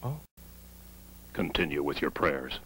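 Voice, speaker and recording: A man playing a grave, authoritative Buddhist chief priest in an animated film, solemn and deliberate. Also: a bass voice, slight background noise, full character voice, rough recording. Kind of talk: animated film dub acting.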